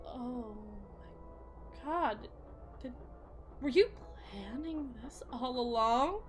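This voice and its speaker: A woman playing an anxious, lovesick suburban wife and mother in an ASMR roleplay, speaking in a halting, emotional way. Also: on the high side, lightly acted. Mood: flustered, touched. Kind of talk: ASMR roleplay, dramatic monologue.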